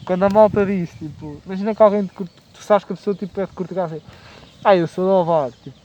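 A man's voice: high-pitched, quick pace, muffled recording, noisy background. A young man from Portugal, a university student, with a European Portuguese accent, rambling with fillers as a podcast guest. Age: young adult. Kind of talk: casual chat.

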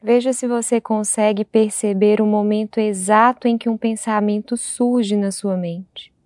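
A Brazilian woman guides a meditation with a dark, relaxed tone. She speaks gently and without hurry, leaving long silences between short phrases. The sound is very dry, with no room around the voice. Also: mid-range voice, measured pace, dry recording.

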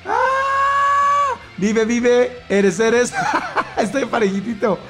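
A high-pitched man with a Mexican Spanish accent, hosting a playful morning radio show from the studio. He jokes, laughs easily and rattles off listener messages at a quick clip. A faint music bed runs under his voice. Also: big pitch swings, pushed and bright. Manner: jokey, laughs often, rattles off messages fast. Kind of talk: casual morning radio banter.

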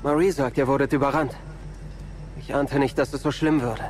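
An actor playing a guarded young Jedi fugitive in a game audio drama, terse and earnest. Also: male voice, medium pitch, steady tone, moderate pace, a little mellow, close to an everyday voice. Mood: earnest, concerned. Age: young adult. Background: music.

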